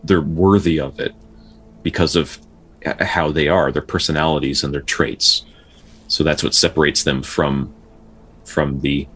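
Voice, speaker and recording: Deep-voiced man in casual podcast discussion. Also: fairly bright tone, husky. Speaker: podcast co-host.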